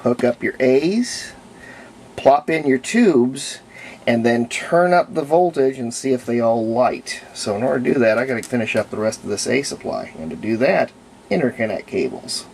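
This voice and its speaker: A man giving a casual, unscripted hands-on demonstration as a hobbyist restorer, thinking aloud in an unhurried way. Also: medium pitch, expressive, gravelly, a little mellow, a little hiss or hum. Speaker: hobbyist restorer of antique radios, presenter.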